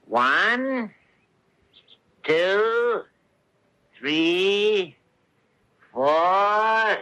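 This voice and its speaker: Swindling fox, extremely bright, fairly high, wheedling, theatrical, slow patter, radio drama. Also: male voice, lively intonation, smooth, full character voice, low-quality recording. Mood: hurried pretence. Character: sly, smooth-talking male fox swindler. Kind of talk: 1970s radio adventure theater acting.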